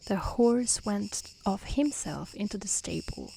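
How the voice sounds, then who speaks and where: A woman reading a fairy tale aloud in a soft, breathy ASMR style that often slips toward a whisper, gentle and careful. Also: mid-range voice, expressive, takes it slowly, low-quality recording. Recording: faint background noise with chirping insects. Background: outdoor nature ambience, crickets.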